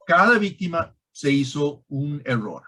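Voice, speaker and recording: Slow-speaking man, non-native Spanish with foreign accent. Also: a little high, big pitch swings, sharp tone, husky. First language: not a native Spanish speaker. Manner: halting, emphatic, with grammatical slips. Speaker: guest expert on online scams. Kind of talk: explanatory conversation.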